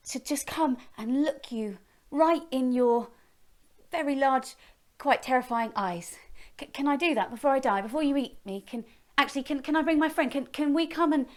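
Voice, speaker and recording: A plucky young storybook princess who is scared but pleads bravely, voiced by a woman. Her pleas come in a rushed, breathless voice that is pitched high above the teller's own. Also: a bit bright.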